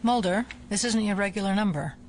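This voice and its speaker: An actress playing a cool, methodical female FBI agent and scientist in an audio drama, measured and drily reproving. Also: mid-range voice, noisy background, close to an everyday voice. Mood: focused.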